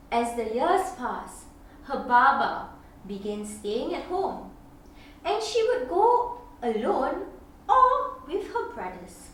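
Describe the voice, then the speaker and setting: A woman reading a picture book aloud to children as a storyteller, with deliberate, dramatic phrasing and expressive pauses. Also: high-pitched. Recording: very echoey room, distant mic, faint noise.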